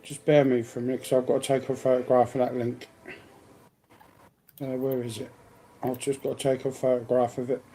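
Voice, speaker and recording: A man's voice: mid-range voice, level tone, fairly quick, long pauses, mellow, a little hiss or hum, rough recording. A man chatting casually, in an offhand conversational way. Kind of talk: casual chat.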